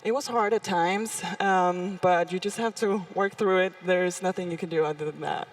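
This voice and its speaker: A woman's voice: lowish voice, fairly even intonation, normal speed, no room sound, distant mic, rough recording. A young woman in her twenties with a Danish accent, a graduating basketball player giving short, laughing interview answers. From Denmark. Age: 20s. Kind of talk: casual interview.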